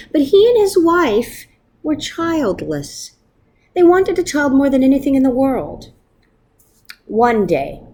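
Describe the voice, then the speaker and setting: A woman reading a picture book aloud as a storyteller, with expressive narration meant for children. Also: a little high, slight background noise.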